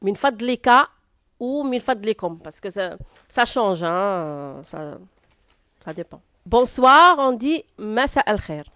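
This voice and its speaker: Hesitant woman, clear voice. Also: mid-range voice, very animated, bright, forceful tone, dry recording, studio quality. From Algeria. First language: Arabic. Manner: hesitant, frequent filler pauses. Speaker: interview guest. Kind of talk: answering a class's questions.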